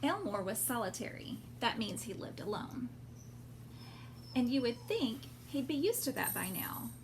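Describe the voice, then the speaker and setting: High-voiced woman slowly reading a children's story. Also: sing-song. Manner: explains words and pictures simply to children. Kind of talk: expressive read-aloud to young children with asides. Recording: clear background noise.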